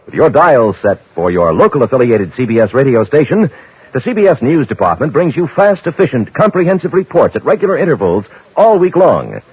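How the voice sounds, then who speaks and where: An American man delivers polished, emphatic radio announcements with a lively swing in pitch. His voice is rough and husky, heard on a muffled 1950s broadcast recording. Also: fairly quick. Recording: dull old radio recording, faint music.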